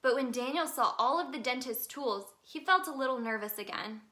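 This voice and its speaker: A woman reading a children's picture book aloud as a storyteller, in an expressive, sing-song manner aimed at young children. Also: sits high, moderate pace. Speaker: storyteller in character as the Tooth Fairy.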